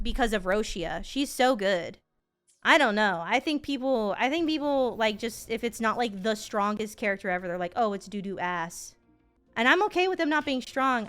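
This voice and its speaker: A woman's voice: fairly high pitch, fairly bright tone, clean tone. A woman in her thirties giving casual, playful gaming stream commentary, full of slang and swearing. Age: 30s.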